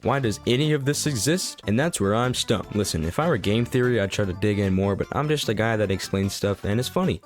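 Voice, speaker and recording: A man giving casual, irreverent, self-deprecating video commentary, swearing freely as he explains. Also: lowish voice. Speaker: YouTube host. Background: background music.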